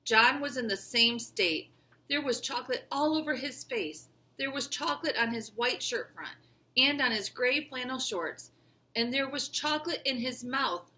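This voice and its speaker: A woman reads a children's story aloud in the manner of a classroom teacher. Her voice is slightly high and distinctly bright. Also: animated, normal speed, slight background noise.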